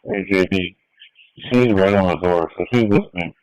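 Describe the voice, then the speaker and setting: A man's voice: low voice, normal speed, darkish tone, slight background noise, rough recording. A man who is a police officer, speaking in brief exchanges over police radio.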